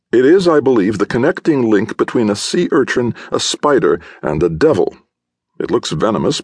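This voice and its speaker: A man voicing a brash, reckless young male artist who mocks his friend, in a raised, excitable voice. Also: on the low side, slightly rough, dry recording, studio quality, pitched above the performer's own voice, exaggerated voice.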